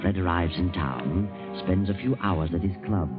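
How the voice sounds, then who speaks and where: An actor playing a sinister, mocking narrator of an old-time radio suspense show, on a muffled recording with music. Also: male voice, deep voice, normal speed, close to an everyday voice. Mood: ominous. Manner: ominous, mocking. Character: sinister, taunting male mystery-show narrator. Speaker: host narrator.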